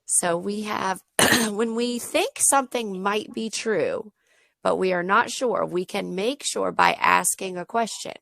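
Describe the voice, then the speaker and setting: A slightly hoarse woman leads an online English lesson for a child, modeling each sentence slowly and clearly. Her voice has a gravelly, creaky texture. It sits close and dry, as if picked up by a video-call microphone. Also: mid-range voice, a bit bright, no echo. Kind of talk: patient teaching over a video lesson.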